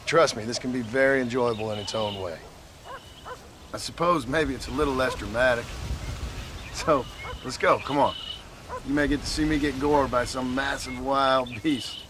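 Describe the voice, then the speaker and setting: Western game rancher dad , male actor: mid-pitched, American frontier drawl, gruff, wry, plain-spoken, slight noise behind. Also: moderate pace, long pauses, natural voice. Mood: encouraging, wry. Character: weathered ex-outlaw rancher father, gruff, wry, devoted. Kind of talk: video game cutscene acting.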